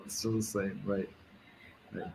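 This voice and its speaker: Hesitant Pakistani man, low even voice. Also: a little hiss or hum. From Karachi, Pakistan. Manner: hesitant, halting delivery with repeated words. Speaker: interview guest, business executive. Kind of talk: conversational interview answers.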